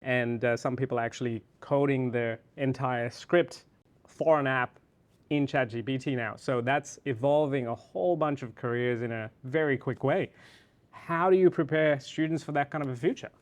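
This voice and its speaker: A man's voice: mid-range voice, normal speed. A man hosting a podcast interview, encouraging his guest and adding short backchannel replies. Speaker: podcast host.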